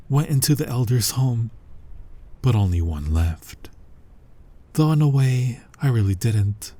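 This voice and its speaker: A male actor voices an ancient vampire who preys on other vampires, in an intimate ASMR roleplay. His voice is low, dark and heavily breathy, sinking toward whispers. He speaks with deliberate, brooding menace and total dominance. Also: exaggerated voice. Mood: grim, haunted. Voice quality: husky, breathy, often dropping to a whisper. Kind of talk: scripted ASMR roleplay monologue.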